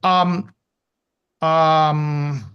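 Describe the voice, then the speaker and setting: Male academic from Indonesia, slightly high voice, informal online talk, casual, self-deprecating. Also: flat delivery, drawn-out words, smooth, muffled recording. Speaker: academic presenter, associate professor. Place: online video call.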